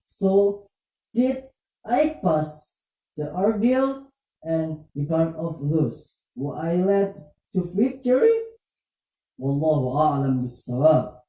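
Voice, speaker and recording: Puppet-show storyteller, halting and deliberate delivery, slow with a lively swinging pitch, distant echoey recording. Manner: halting, deliberate phrasing in non-native English. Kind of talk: slow scripted puppet-show dialogue on religion. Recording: roomy echo, distant muffled microphone.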